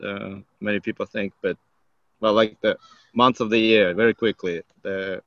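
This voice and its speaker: Low-voiced man. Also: steady tone, fairly bright tone, dry recording, dull audio. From Kazakhstan. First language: Russian. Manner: halting, searching for words. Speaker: participant. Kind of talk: casual group video call.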